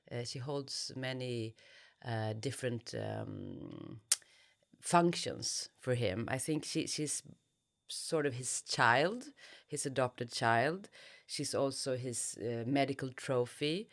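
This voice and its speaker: Woman author being interviewed, talks slowly, pauses a lot hunting for words. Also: very deep voice. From Sweden. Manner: halting, thoughtful, searching for words. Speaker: interviewed novelist. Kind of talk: reflective literary interview.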